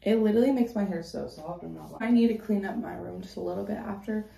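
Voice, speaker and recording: A teenage girl vlogging at home in the morning, her voice hoarse from yelling the night before. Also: mid-range voice, fast. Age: teens. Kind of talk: casual vlog chat. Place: bedroom at home. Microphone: phone camera at arm's length.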